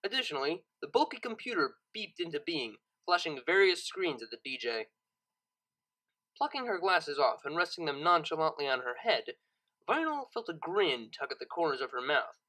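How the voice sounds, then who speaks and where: A narrator reading a scripted story audiobook in an expressive, dramatic storytelling manner. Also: mid-range voice, normal speed, low-quality recording.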